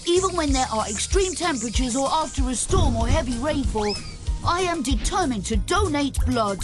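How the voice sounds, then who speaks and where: A woman reading a scripted public service announcement. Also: high voice. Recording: music bed behind the voice.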